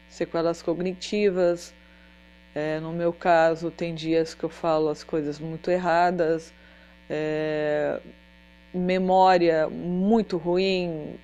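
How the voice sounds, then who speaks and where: A woman talking in a personal vlog, halting with pauses and restarts, Portuguese not her first language. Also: fairly low pitch, mellow. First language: another language than Portuguese. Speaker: vlogger.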